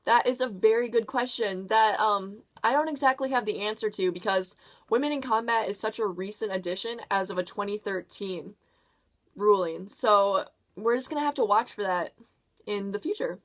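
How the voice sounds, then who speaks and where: A woman's voice: mid-range voice, moderate pace, close to an everyday voice. A woman playing a polite male official answering questions in a puppet show. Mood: friendly, apologetic.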